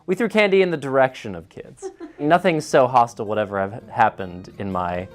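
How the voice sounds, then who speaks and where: A man from Ohio tells a hometown story with humor, his pitch rising and falling. Soft music plays behind him. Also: moderate pace. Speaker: journalist. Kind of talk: anecdotal chat. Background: faint music.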